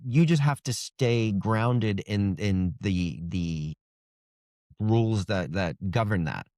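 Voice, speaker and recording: A man with a fairly low voice, talking as a guest author in a casual interview. He explains things informally in loose, conversational phrases, and the sound is very dry. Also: slow pace, a little mellow, no echo.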